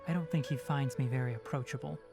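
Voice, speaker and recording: A man voicing a young, calm and pragmatic fox-eared ranger mentor in a video game, speaking in a measured, precise, articulate way. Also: medium pitch, gravelly, over music, natural voice. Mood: composed, reassuring.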